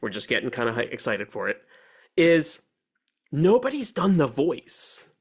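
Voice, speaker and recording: A male podcast host with a medium-pitched voice in casual conversation. He gives his opinions in an animated way, with plenty of slang and loose swearing. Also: moderate pace, breathy edge. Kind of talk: casual chat, film discussion.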